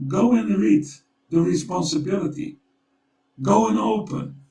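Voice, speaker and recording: A Dutch-accented man talks slowly in a gravelly, mellow voice. He is earnest and urgent, and circles back to repeat his points. He sounds set back from the mic in an echoey room. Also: high-pitched, distant mic. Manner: urgent, storytelling, repeats himself. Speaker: co-host. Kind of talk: earnest conversational appeal.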